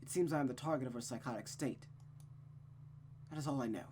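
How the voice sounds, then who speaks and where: A woman's voice: a bass voice, brisk, warm tone, a little hiss or hum. A woman voicing a stoic, weary nobleman with a subdued, evasive manner in a visual novel read-aloud. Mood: grave, worried.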